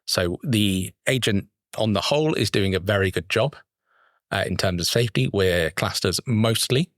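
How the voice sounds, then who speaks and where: Lower-voiced male researcher, dry studio sound. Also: normal speed, fairly bright tone, dry recording. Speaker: project researcher being interviewed. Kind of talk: conversational explanation in a podcast interview.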